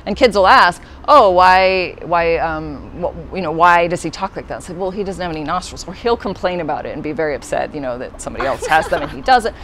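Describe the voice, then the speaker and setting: Woman, fairly low voice, friendly explainer, casual interview, many ums, faint ambience. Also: animated, a little fast, few pauses, a bit bright, a little hiss or hum. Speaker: puppeteer guest.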